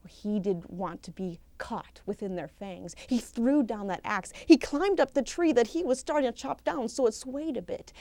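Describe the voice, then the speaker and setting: A woman telling a folktale live as a professional storyteller, expressive and theatrical, with deliberate pauses and emphasis. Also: a little high, no echo. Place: live storytelling session at a conference.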